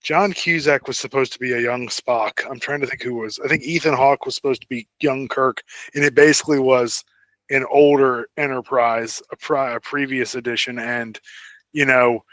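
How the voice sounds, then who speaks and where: A man co-hosting a podcast in casual fan chat, thinking aloud in a halting way. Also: medium pitch, steady tone, a bit bright, dry recording. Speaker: podcast co-host.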